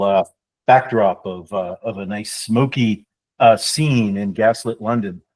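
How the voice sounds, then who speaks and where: A man co-hosting a podcast, talking through a cocktail recipe step by step as he mixes it. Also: mid-range voice. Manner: descriptive, explanatory, with fillers. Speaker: podcast co-host, drink mixer. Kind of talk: casual podcast chat, explaining a cocktail.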